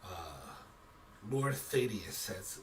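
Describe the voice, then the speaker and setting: A man acting as game master, improvising tabletop narration in a halting, casual way. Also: low-pitched, big pitch swings. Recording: distant mic with faint noise.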